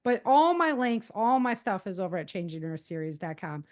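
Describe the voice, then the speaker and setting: A woman's voice: medium pitch, big pitch swings, normal speed, darkish tone. American woman, earnest and informal. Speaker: podcast guest, author and self-defense teacher. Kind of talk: conversational interview answers.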